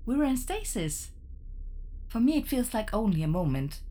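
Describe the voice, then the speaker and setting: A woman playing a calm, literal-minded, polite AI ship assistant in a science fiction audio drama, speaking precisely. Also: a little high. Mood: precise, dry. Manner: precise, measured, courteous.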